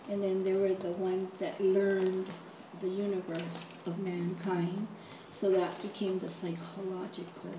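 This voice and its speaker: An older woman from Hawaii speaking reflectively at an audience mic, in halting, deliberate and solemn phrases. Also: medium pitch. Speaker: audience member asking a question. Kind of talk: reflective personal speech at an open mic. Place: large echoey room, event audience mic. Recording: echoey, distant mic, noisy room.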